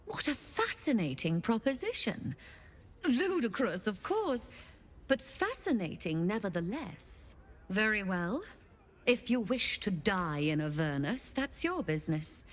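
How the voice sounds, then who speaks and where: An actress playing a sly infernal fixer who runs an occult shop in a video game, speaking in an arch, theatrical, deliberate way. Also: female voice, mid-range voice, very animated. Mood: arch, amused. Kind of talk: video game character acting.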